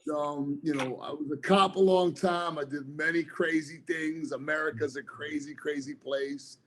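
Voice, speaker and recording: A man's voice: fairly high pitch, steady tone, moderate pace, fairly bright tone. Earnest man. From New Jersey, United States. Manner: earnest, rambling, frequent fillers. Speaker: author guest, former police officer. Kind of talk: casual interview chat.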